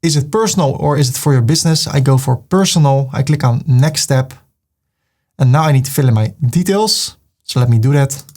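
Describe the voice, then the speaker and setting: A man's voice: mid-range voice, brisk, a little mellow. Dutch man giving a tutorial. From Netherlands. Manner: plain step-by-step instructions, reading on-screen prompts aloud. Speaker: web tutorial host. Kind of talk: screen-recorded walkthrough.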